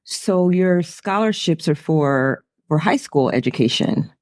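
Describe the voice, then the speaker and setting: A woman's voice: low voice, expressive, unhurried, no echo, clean studio sound. A woman hosting a podcast, interviewing a guest in an upbeat, encouraging way. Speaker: podcast host.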